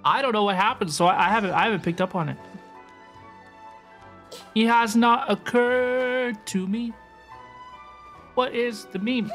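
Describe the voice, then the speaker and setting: A woman's voice: on the high side, unhurried, long pauses. Chatty woman streamer, music behind. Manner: chatty, slangy, repeats phrases. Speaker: game streamer. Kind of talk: casual livestream chat over gameplay.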